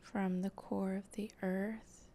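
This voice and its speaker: A woman leading an improvised guided meditation in a soft, breathy voice that often slips toward a whisper, speaking gently and haltingly with long pauses. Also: mid-range voice, flat delivery, a little hiss or hum. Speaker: guided meditation host and channeler.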